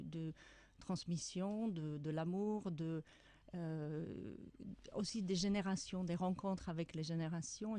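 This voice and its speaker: Mellow-voiced Czech woman, reflective author. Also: lowish voice, no echo. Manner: reflective, searching for words. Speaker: author guest. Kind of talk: radio interview.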